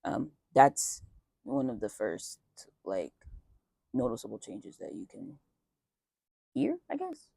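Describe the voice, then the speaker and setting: A young man chatting casually outdoors in a light breeze as a vlogger, with lots of fillers and wry asides. His voice is just starting to deepen early on testosterone. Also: huge pitch swings, takes it slowly, vocal fry, long pauses, warm tone, slightly rough, no echo, studio quality. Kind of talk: casual life update chat.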